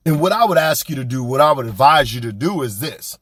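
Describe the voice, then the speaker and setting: A man's voice: mid-range voice, a little fast, dry recording. A young man, hosting a podcast and giving a blunt, colloquial motivational talk. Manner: animated, preachy, blunt and colloquial. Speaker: podcast host. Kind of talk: motivational monologue.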